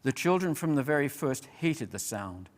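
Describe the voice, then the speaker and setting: A man reading a children's fantasy story aloud as a measured, expressive, dramatic narrator. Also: medium pitch. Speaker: storyteller, reader. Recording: long reverberant hall.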